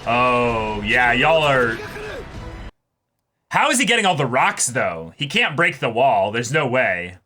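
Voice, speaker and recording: A man giving casual, excited reaction commentary, swearing and blurting out short exclamations. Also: mid-range voice, slow, faint music behind. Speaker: reaction video host.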